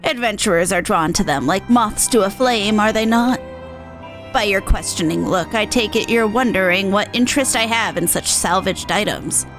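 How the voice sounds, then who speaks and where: A woman's voice: mid-range voice, moderate pace, close to an everyday voice, poor audio. A woman voicing a sly, secretive female dealer from a fantasy game, arch and knowing in formal phrasing. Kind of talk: reading fantasy game dialogue aloud. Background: game music.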